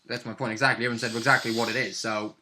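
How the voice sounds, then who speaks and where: Very bright, sharp-voiced man chatting. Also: medium pitch, level tone, very fast, slight background noise. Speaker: player in a tabletop roleplay game. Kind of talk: casual chat.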